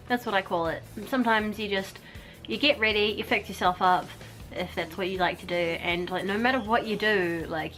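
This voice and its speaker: A woman's voice: medium pitch, fairly bright tone. A woman chatting casually in a rambling, self-deprecating way. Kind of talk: casual chat to camera.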